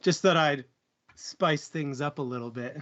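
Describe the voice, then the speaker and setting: A man's voice: a little high, unhurried, fairly bright tone, dull audio. A man co-hosting a book discussion livestream, chatting casually in a jokey way. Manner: jokey, fond of puns. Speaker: co-host of a book discussion show. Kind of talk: casual livestream chat and plugs.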